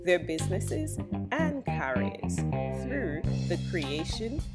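A woman's voice: low voice, huge pitch swings, slow. A woman hosting a podcast with an upbeat, emphatic announcer-like delivery. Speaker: podcast host. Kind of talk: upbeat scripted podcast introduction and interview. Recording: loud music behind the voice.

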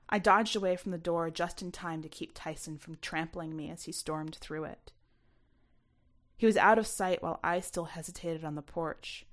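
A woman reading a first-person short story as an expressive narrator. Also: lowish voice. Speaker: author reading their own short story.

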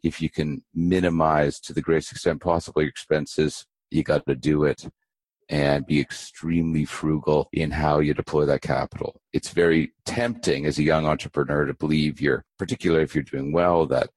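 A middle-aged man from Canada, a guest giving measured, emphatic business advice in a podcast interview. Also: very deep voice, fairly even intonation, a little mellow, breathy edge, no room sound. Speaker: entrepreneur guest.

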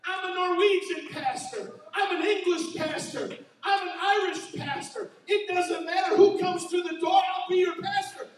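A man pastor preaching an impassioned sermon in his church, often breaking into shouts. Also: extremely high pitch, normal speed, background noise. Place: church sanctuary. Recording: very echoey hall, mic far off.

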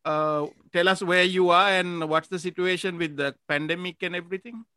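A man's voice: high voice, fairly even intonation, normal speed, bright, forceful tone, clear, resonant tone, no room sound. A man hosting a podcast, asking his guest questions in a friendly, casual way with restarts and short laughs. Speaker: podcast host. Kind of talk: casual interview chat.

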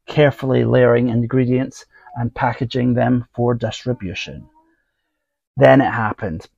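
Man reading stories, gravelly voice. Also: medium pitch, a little mellow, no room sound, poor audio. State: voice getting husky. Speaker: podcast host. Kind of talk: reading scripted stories aloud, then casual aside.

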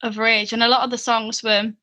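A woman's voice: a little high, flat delivery, a little fast, bright, forceful tone. A young woman from Llanelli with a Welsh accent, chatting and asking questions in an enthusiastic way. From Wales. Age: young adult. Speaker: interviewer. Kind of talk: casual chat.

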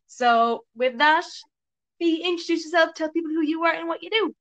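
A woman's voice: very high voice, normal speed, fairly bright tone, dull audio. A woman hosting a casual podcast in an informal, jokey way. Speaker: podcast host.

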